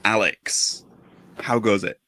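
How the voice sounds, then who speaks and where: A man's voice: lowish voice, steady tone, slow, sharp tone, dry recording. A man hosting a casual podcast interview, speaking effusively and full of compliments. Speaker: podcast host.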